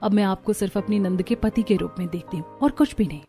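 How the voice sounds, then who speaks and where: A woman's voice: medium pitch, fast talker, mellow, soft background music, lightly acted. Caring young sister-in-law, played by a woman. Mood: sincere.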